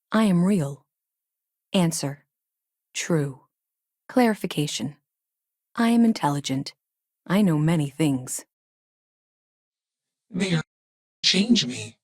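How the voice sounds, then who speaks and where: A sentient space probe intelligence for a video game. The voice is low and dry, close and free of room sound. It speaks in clipped, literal, robotic phrases, announcing each statement like a label, with an earnest, childlike logic. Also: female voice, breathy edge, dry recording, full character voice. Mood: matter-of-fact. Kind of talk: scripted video game dialogue.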